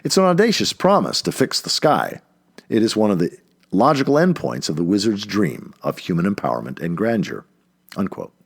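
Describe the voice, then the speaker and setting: Husky, expressive male narrator. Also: fairly low pitch. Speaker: audiobook reader. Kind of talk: scripted narration of a nonfiction essay.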